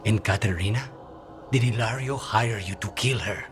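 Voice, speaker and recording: A man's voice: on the low side, moderate pace, music in the background, natural voice. A man playing a grim, wronged assassin in a video game, terse, controlled and brooding. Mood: cold resolve.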